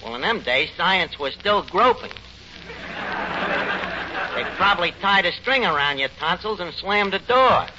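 Through the noise of a muffled 1940s radio recording comes a New York tavern manager. He blusters in slangy, mangled English, with a lively, swinging pitch that betrays his nerves. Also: unhurried, long pauses. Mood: defensive bluster. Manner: malapropisms, slangy ungrammatical bluster. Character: anxious, blustering tavern manager, comic wiseguy. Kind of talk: 1940s radio comedy acting.

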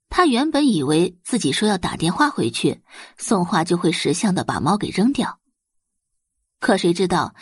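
A woman's voice: medium pitch, breathy edge, dry recording, studio quality. A woman narrating a scripted dramatic audiobook story.

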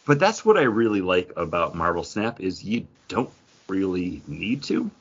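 Husky man with lively, swooping pitch. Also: moderate pace, lo-fi sound. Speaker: podcast host. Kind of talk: casual podcast chat.

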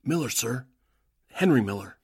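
A man's voice: medium pitch, expressive, normal speed, vocal fry, breathy edge, no echo, clean studio sound, exaggerated voice. A man acting a deferential, uneasy underling, a newspaper editor who is secretly an agent, in 1940s radio drama style with an earnest, hesitant manner. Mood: guarded, respectful.

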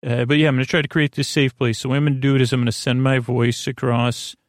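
A man hosting a bedtime podcast in a creaky, lulling voice, rambling and stammering through meandering tangents and false starts. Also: medium pitch, flat delivery, fast talker, no echo, clean studio sound. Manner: soothing, lulling. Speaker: podcast host.

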